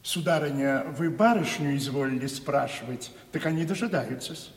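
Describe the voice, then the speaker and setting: An actor playing a deferential manservant in a Russian radio play, formal and obsequious. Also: male voice, mid-range voice, moderate pace, gravelly, natural voice. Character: deferential manservant announcing visitors. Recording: reverberant 1970s radio play recording.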